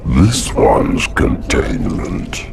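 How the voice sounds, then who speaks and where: A man voicing an ancient, giant parasitic hive-mind monster in a game cutscene, gravelly and booming, making slow, portentous pronouncements. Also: very animated, full character voice. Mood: grave, commanding. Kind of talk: video game cutscene acting. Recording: music behind the voice.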